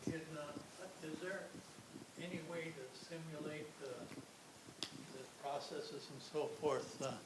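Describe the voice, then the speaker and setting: A man in the audience asking a question in a hesitant, halting way, heard from a distance. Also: fairly high pitch, heavy background noise. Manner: hesitant, halting, many fillers.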